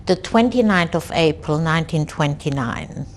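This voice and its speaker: A woman in her sixties from Belgium, recalling her family in an oral history interview, slow and reflective with frequent hesitations. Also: low-pitched, animated, slight background noise. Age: 60s. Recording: archival 1990s interview tape.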